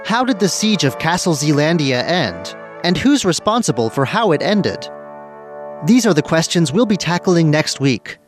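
A man's voice: fairly high pitch, sing-song, normal speed, soft background music. A man hosting a history radio program, reading scripted narration and putting questions to the listener.

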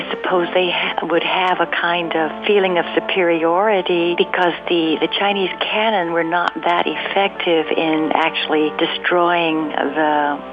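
A woman being interviewed as a researcher, explaining history off the cuff in measured phrases and searching for her words. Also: deep voice, lo-fi sound. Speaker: interviewed researcher and radio drama writer.

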